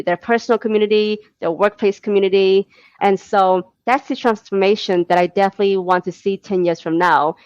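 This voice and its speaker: A woman's voice: mid-range voice, no echo. Eager woman guest on dull lo-fi audio. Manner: eager, visionary, laughs between thoughts. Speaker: podcast guest. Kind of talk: casual interview talk.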